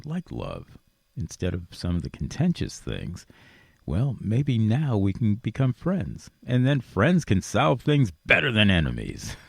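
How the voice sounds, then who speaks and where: A man's voice: on the low side, creaky, no echo. A man hosting a radio interview show, speaking conversationally and earnestly, with emphatic repetition. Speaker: radio talk show host. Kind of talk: conversational interview, rambling positive monologue.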